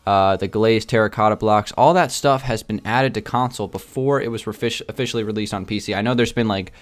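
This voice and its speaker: A man's voice: lowish voice, fairly quick. A man chatting casually to his viewers in a gaming video, rambling a little and often repeating himself. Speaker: video game YouTuber.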